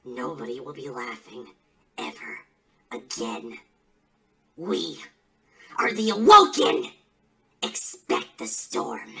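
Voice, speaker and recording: A woman's voice: high-pitched, sing-song, a little slow, crowd noise. A woman playing a smug, unhinged, conspiracy-minded villain who taunts rivals in a theatrical, melodramatic wrestling promo. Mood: menacing, smug.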